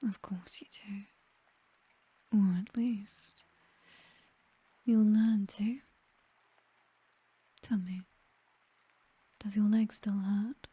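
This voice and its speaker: A woman with a British accent playing a possessive, seductive vampire mistress in a whispered, breathy ASMR roleplay, doting yet condescending and coolly menacing. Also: mid-range voice, steady tone, drawn-out words, long pauses, warm tone, no echo, heavily acted. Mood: softly menacing.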